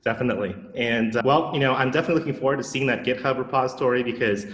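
An enthusiastic man hosting a tech podcast, asking questions in a relaxed, conversational way. His voice sits at a middle pitch. A roomy echo hangs around it. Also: racing. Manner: relaxed, enthusiastic, with many "you know" fillers. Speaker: podcast host. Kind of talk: casual tech podcast interview.

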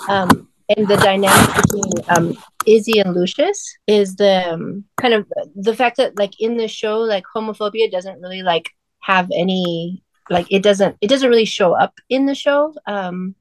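A woman's voice: medium pitch, moderate pace, slight background noise, low-quality recording. A woman co-hosting a podcast, discussing things casually and informally. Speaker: podcast co-host.

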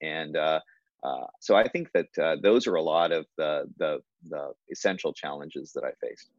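A man, a hospital leader, speaking in a measured, reflective way with many fillers and restarts in a conversational interview. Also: rumbling low, no echo, dull audio.

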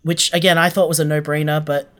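Aussie guest just chatting in an interview, earnest and blunt, mid pitched voice. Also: level tone, a little fast, a bit bright. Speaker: interview guest, audio drama maker. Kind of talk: casual interview chat.

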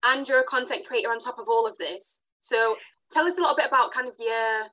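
A quick-talking woman hosting a casual podcast interview. Her voice sits a touch high, and she keeps the talk upbeat with enthusiastic, affirming responses to her guest. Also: sharp tone, low-quality recording. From Africa. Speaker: podcast host.

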